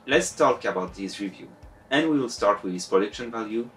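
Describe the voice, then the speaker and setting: Young French-accented male reviewer, lowish, animated, sarcastic; light music bed. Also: fairly quick, husky. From France. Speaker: YouTube reviewer. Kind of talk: scripted video essay. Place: home room with bookshelves.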